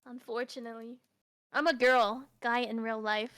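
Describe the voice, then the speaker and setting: A woman's voice: on the high side, little pitch movement, normal speed, a bit bright, clean tone. A woman guest in a casual chat, laughing often and rambling in slangy speech. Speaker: guest streamer.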